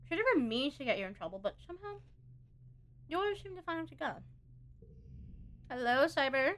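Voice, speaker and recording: A woman streaming a game live, chatty and expressive, reading the on-screen story aloud and tossing in playful asides. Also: high-pitched, fairly quick. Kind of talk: live let's-play commentary, reading game text aloud.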